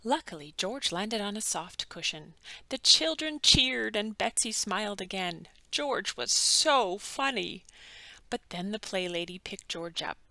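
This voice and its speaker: A woman narrating a children's bedtime story with animated, dramatic emphasis and drawn-out words. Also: medium pitch, creaky, dry recording. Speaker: children's book read-aloud narrator.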